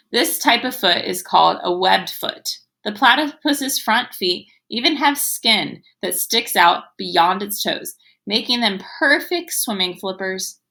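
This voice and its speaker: A woman's voice: medium pitch, bright, forceful tone. A woman teacher reading aloud to young children, explaining as she goes in an instructive way and sounding out words. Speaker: elementary school teacher.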